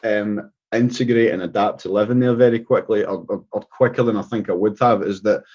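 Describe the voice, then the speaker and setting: A man's voice: fairly low pitch, steady tone, a little fast, a little mellow. A man from Scotland with a Scottish accent, a guest talking casually in an interview, rambling with many restarts and fillers. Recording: dull lo-fi sound.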